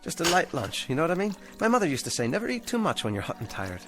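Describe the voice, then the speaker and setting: An eager, fretful ventriloquist. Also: male voice, fairly high pitch, huge pitch swings, quick pace, over music. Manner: eager, fretful, anxiously upbeat. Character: hopeful, down-on-his-luck male ventriloquist. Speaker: struggling ventriloquist. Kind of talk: 1960s television drama acting.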